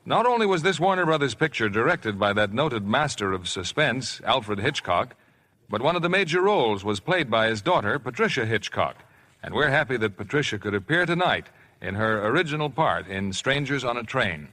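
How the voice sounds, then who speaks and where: A man hosts a 1950s radio theater with polished formality. His voice is bright and projected with a rough, husky edge and a lively melody, over faint music on an old broadcast. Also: medium pitch, moderate pace. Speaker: radio theater producer and host.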